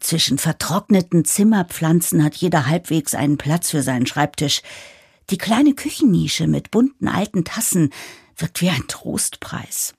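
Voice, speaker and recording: A woman's voice: sits low, expressive, unhurried, a little mellow, slightly rough, exaggerated voice. A woman playing an earnest, idealistic new chief executive in a German audio drama. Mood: reflective, nervous. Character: new female CEO, eager, idealistic, nervously determined. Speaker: lead character and narrator.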